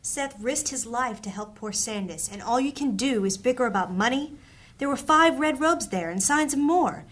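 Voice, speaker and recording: A woman's voice: on the high side, lively intonation, moderate pace, a bit bright. A woman playing a stern, principled member of a thieves' band in a fantasy audio drama. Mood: scolding.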